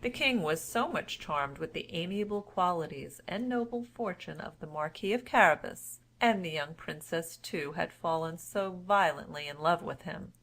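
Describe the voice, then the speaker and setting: A woman storyteller narrating a scripted fairy tale in a formal, measured manner. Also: lowish voice, lively intonation, a bit bright.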